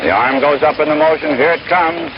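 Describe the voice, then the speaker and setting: A man's voice: a little high, brisk, a crowd in the background. A man calling a baseball game play by play in the style of 1930s and 1940s radio commentary. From United States. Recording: noisy, dull archival 1930s-40s radio broadcast.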